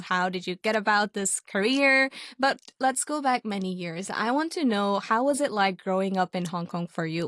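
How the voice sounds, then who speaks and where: A woman's voice: mid-range voice, a bit bright, dry recording, studio quality. A woman hosting a radio talk show, upbeat and articulate. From Pakistan. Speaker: host.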